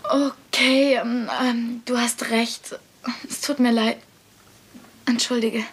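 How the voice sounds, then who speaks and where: A woman's voice: a little high, fairly even intonation, takes it slowly, husky. A woman playing an awkward, earnest family member in a dubbed sitcom, speaking hesitantly. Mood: apologetic. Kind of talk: German-dubbed sitcom dialogue.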